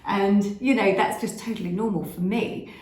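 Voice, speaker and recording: A woman's voice: medium pitch, steady tone, moderate pace, darkish tone, a little hiss or hum. A British woman with an English accent hosting a casual chat, rambling with plenty of fillers. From Britain. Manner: chatty, rambling with many fillers. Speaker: host. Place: at home, outdoors in summer. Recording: echoey room.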